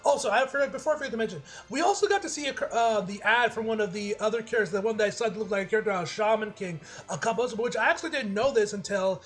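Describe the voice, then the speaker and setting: A man with a high voice talks quickly through an episode review. He sounds like an excitable fan, rambling casually in loose slang with plenty of swearing. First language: English. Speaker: anime reviewer.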